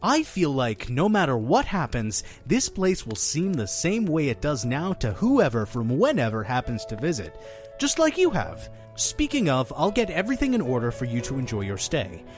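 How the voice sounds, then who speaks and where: An effusive, theatrical male innkeeper acted by a man in an audio drama. His pitch swings widely as he chats on, pushy and mysterious, over music. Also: wildly swooping pitch, normal speed. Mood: cheery, welcoming.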